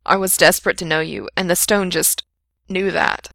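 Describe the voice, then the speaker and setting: A woman voicing an anxious, defensive young man who talks in loud, strained bursts, in a low, gravelly voice. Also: steady tone, moderate pace, no room sound, studio quality. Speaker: reader voicing a character.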